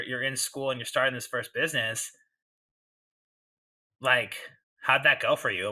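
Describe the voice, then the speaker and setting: A man's voice: medium pitch, sharp tone. A man in his thirties hosting a podcast interview, talking loosely and casually and asking long, rambling questions. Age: 30s. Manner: chatty, loose, asks long multi-part questions. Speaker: podcast host.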